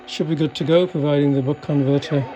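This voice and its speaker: A man's voice: fairly high pitch, fairly even intonation, fast talker, warm tone. A man giving a casual, unscripted demonstration as an electronics hobbyist, talking in a hesitant, understated and technical way. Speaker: electronics hobbyist demonstrating a repaired vintage car radio.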